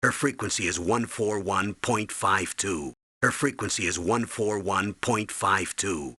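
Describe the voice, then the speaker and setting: An actor playing a stern older military commander, giving authoritative, urgent briefings in a video game with a husky voice. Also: male voice, on the low side, a little slow, bright, forceful tone, no echo, exaggerated voice. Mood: instructive, watchful. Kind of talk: video game voice acting, tutorial instructions.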